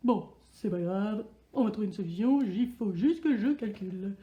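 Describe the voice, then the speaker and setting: A woman playing a childish, excitable, self-pitying character who talks to themselves in slang in a playful children's sketch. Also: mid-range voice, moderate pace, echoey room, muffled recording, lightly acted. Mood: busy, curious. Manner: exaggerated, slangy, talking to self.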